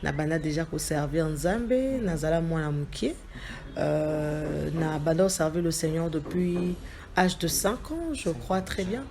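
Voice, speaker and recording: A young adult woman from Congo, a gospel singer, speaking earnestly and measuredly as an interview guest, with pauses and fillers. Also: deep voice, animated, a little mellow, slight background noise. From Democratic Republic of the Congo. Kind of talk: interview, mixing French and Lingala.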